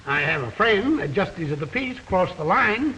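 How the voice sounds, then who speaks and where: An actor playing a wry hotel clerk who cracks jokes and chuckles, in 1940s radio acting. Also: male voice, sits high, sing-song, normal speed, sharp tone, full character voice. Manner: wisecracking, chuckling. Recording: old radio recording with long reverb and faint hiss.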